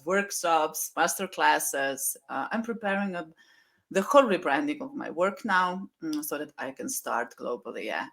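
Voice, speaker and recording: A woman with a somewhat low voice answers as a guest in an online chat. She talks in a flowing way, leaning on "you know" again and again. The sound is a plain video-call recording. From Slovenia. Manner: warm storytelling, many "you know" fillers. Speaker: guest, mindset coach. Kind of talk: casual interview talk.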